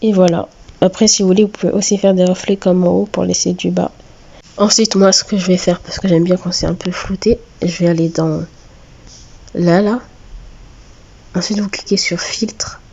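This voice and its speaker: A woman's voice: on the low side, fairly even intonation, gravelly, darkish tone, slightly rough, lo-fi sound. Woman with a cold, hesitant tutorial. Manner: hesitant, many filler pauses. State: has a cold. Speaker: drawing tutorial maker. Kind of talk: screen-recorded step-by-step tutorial.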